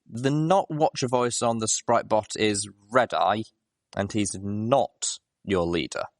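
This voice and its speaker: A man's voice: on the low side, takes it slowly, no room sound, studio quality. A man voicing a young female pony heroine, earnest and easily puzzled, in a story reading.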